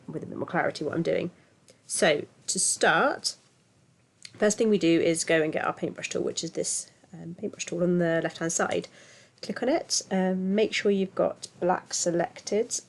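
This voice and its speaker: A woman with a stuffy, bunged-up voice from a cold, talking casually through a software tutorial with lots of ums. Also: sits low, vocal fry. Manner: chatty, self-deprecating, filler-heavy. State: congested from a cold. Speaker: tutorial host.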